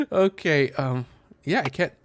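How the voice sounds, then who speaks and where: A man's voice: a little high, slow pace, slight background noise. A man doing casual let's play commentary, explaining things in a hesitant, rambling way into a close mic. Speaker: gaming content creator.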